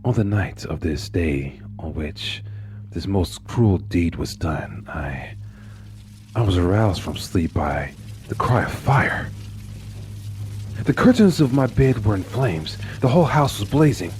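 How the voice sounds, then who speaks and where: A man narrating a horror story in the first person, intense, dropping to hushed whispers and rising into anguished outbursts. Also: deep voice, animated, slow pace, faint music behind. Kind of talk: dramatic horror story reading.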